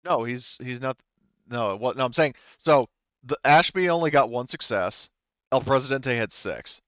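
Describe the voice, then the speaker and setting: A man's voice: mid-range voice, expressive, normal speed, fairly bright tone, no room sound, dull audio. A man running a tabletop roleplaying game as game master, talking casually and hesitantly as he thinks aloud.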